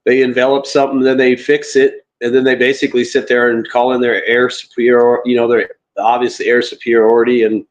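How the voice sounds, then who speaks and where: A man's voice: mid-range voice, flat delivery, brisk, poor audio. A man on a video call explaining things casually, loosely searching for his words. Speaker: panel participant in live open mic.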